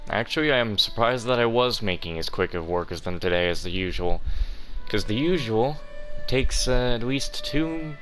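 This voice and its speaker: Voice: mid-range voice, animated, moderate pace, a bit bright. A woman doing casual, wry playthrough commentary as a gaming video host, reading dialogue aloud. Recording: faint game music behind.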